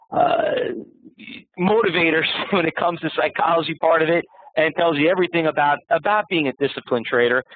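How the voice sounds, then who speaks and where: A man hosting an online event, introducing the next speaker in a halting way with many fillers. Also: on the high side, dry recording. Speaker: event host. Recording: tinny phone-like online audio.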